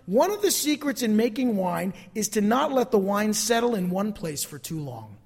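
High-voiced male preacher in a reverberant hall. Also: big pitch swings, normal speed, gravelly, a little mellow, breathy edge. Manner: emphatic, conversational, repeats phrases for emphasis. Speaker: pastor preaching. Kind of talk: sermon to a congregation, closing in prayer.

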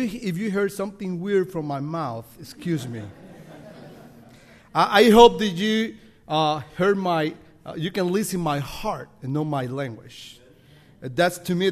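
A man from El Salvador with a Salvadoran Spanish accent, speaking halting second-language English as a missionary leader addressing a congregation. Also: on the high side. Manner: halting non-native English, self-deprecating, laughing often.

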